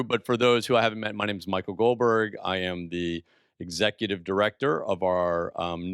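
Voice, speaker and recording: A man's voice: fairly low pitch, fairly bright tone, clean tone, no room sound, studio quality. A man hosting a university talk, giving a chatty, jokey welcome with lots of fillers, speaking into a handheld microphone. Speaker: event host, executive director. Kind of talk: welcoming introduction. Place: university entrepreneurship floor.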